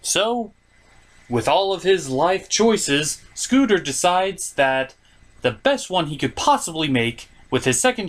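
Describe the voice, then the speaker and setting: A man's voice: a little high, a bit bright. A man hosting a comedy review show, delivering mocking, theatrical scripted commentary. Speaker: host of a puppet review show.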